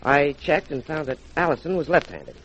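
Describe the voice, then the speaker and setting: A sharp radio detective from a 1940s crime drama. He explains with confident, deliberate delivery and lively swings of pitch. The old recording is dull, with a faint hiss. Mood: confident, explaining. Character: sharp, self-assured male detective explaining how he solved it.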